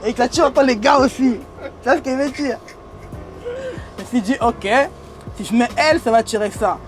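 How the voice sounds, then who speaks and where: High-voiced young man with a foreign accent. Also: a little fast, music in the background. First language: not French. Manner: slangy, streetwise, repeats phrases, laughs often. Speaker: interviewee. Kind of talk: casual interview talk. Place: in a limousine.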